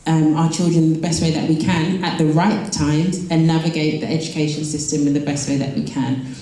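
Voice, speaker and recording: A woman's voice: on the low side, warm tone. A woman who manages a council education team, giving a reassuring community talk full of ums. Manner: reassuring, repeats herself, many ums. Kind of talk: community presentation. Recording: echoey hall.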